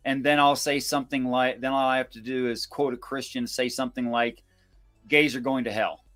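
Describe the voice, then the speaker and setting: A man giving informal scripture commentary and reading verses aloud in a measured, explanatory way. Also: medium pitch, fairly even intonation, a bit bright, soft background music. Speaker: Bible discussion co-host.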